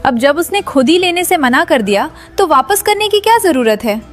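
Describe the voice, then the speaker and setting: A woman plays an anxious, shrewd village wife in a horror audio tale. Her voice is high and insistent, with soft music underneath. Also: animated, moderate pace. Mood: scheming. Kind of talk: Hindi audio horror story acting.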